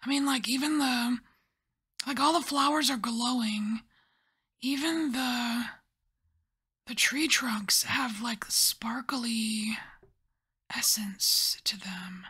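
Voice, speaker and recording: A woman softly whispering and musing as she lays out and reads tarot cards in an ASMR style. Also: a little high, husky. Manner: soft whispering, musing and unhurried. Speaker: tarot reader.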